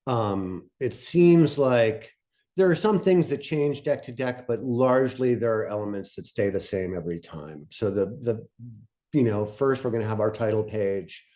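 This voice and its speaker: Man, dark mellow tone, slightly low pitch, casual moderator, self-deprecating, halting phrasing. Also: lively intonation.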